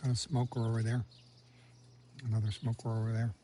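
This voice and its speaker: Male travel vlogger, relaxed warm tone, casual walk-and-talk outdoors with quiet whispered remarks. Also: medium pitch, brisk, a little hiss or hum. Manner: chatty, muttering asides, sometimes whispering. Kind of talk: casual walking vlog commentary. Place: outdoors in a village street and on a café terrace.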